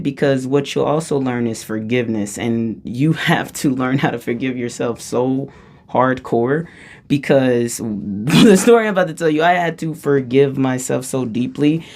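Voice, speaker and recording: A woman with a low-register voice telling a personal story in a casual, rambling way, often breaking off and restarting her sentences and laughing as she talks. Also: a little mellow. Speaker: vlogger.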